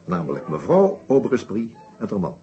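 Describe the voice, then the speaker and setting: An actor playing a shrewd, methodical detective inspector in a Dutch radio play, explaining his case deliberately with small hesitations. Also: lowish voice, wildly swooping pitch. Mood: assured, revealing. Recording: dull old radio play recording.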